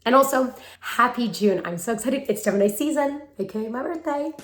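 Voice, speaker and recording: A woman's voice: fairly high pitch, animated, brisk, music in the background. Echoey, chatty young woman vlogger. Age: 20s. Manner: chatty, emphatic, addresses viewers affectionately. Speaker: vlogger and acting coach. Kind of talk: casual talking-head advice to viewers. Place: room at parents' home, brick wall. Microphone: handheld phone. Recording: roomy echo from a hard-walled room.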